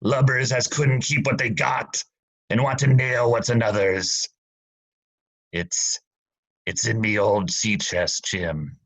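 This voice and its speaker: A man playing a gruff old drunken pirate captain. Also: lowish voice, steady tone, slow pace, a bit bright, heavily acted, poor audio. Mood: fearful. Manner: gruff seafaring dialect, pleading. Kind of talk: student radio play acting.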